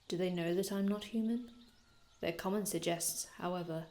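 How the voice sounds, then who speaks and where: A woman playing an aloof, formal male vampire healer from another time in an ASMR roleplay, speaking in a measured, haughty, hushed manner with archaic phrasing. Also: fairly low pitch, close to an everyday voice. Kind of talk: ASMR roleplay, scripted in-character monologue.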